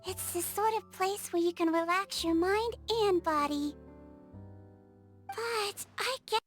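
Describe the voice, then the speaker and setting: A woman voicing a small, cheerful, caring melusine nurse girl in a video game, with a sweet, polite and gently quirky manner. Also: extremely high pitch, full character voice. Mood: cheerful, curious. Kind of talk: animated video game character voice acting.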